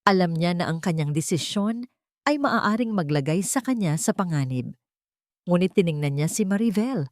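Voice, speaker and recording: A woman's voice: lowish voice, expressive, normal speed, dry recording, clean studio sound. A woman reading a scripted dramatic audio story as its narrator.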